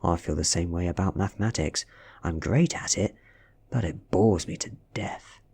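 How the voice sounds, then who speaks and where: A man voicing a sickly, nerdy teenage boy, earnest and self-deprecating, in a softly read story. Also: low-pitched, normal speed, gravelly, breathy edge, pitched below the performer's own voice, heavily acted.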